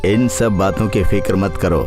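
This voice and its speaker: A man voicing a kind, generous, calm businessman in an animated story, speaking in a measured, reassuring way. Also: low-pitched, over music, close to an everyday voice. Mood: calm, defending. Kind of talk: animated moral story dialogue.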